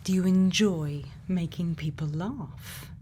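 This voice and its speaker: A woman doing a soft-spoken, often whispered ASMR roleplay as a caring scientist, speaking gently and without hurry in a soothing way. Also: lowish voice, slow. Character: sweet, caring scientist speaking to a robot friend. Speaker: ASMR performer playing a scientist. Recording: faint background noise.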